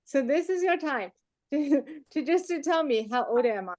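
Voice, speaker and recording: High-pitched playful woman teacher in her forties. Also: lively intonation, moderate pace, darkish tone, no room sound, studio quality. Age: 40s. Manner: playful, teasing, often chuckling. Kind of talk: interactive online class chat.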